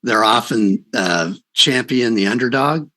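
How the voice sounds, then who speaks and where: A man's voice: medium pitch, expressive, a little slow, pushed and bright. A man hosting a casual interview, affirming his guest and explaining his ideas as he thinks aloud. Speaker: podcast host, interviewer.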